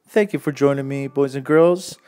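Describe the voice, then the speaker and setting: A man with a medium-pitched voice reads aloud for children as host. His delivery follows the rhymes of a picture book. Music plays behind him. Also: normal speed, mellow. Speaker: host and children's story reader.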